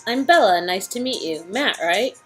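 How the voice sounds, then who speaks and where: A woman's voice: medium pitch, expressive, normal speed, soft background music, lightly acted. A woman voicing a sassy young female newcomer in a romance game, playful, teasing and casual. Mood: coy, teasing. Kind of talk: amateur dialogue reading of a romance game.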